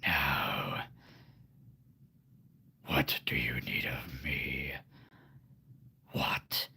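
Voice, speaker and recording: A man putting on a sinister whispering voice, slow and drawn out. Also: very deep voice, expressive, vocal fry, sharp tone, a little hiss or hum, voice pushed down, heavily acted.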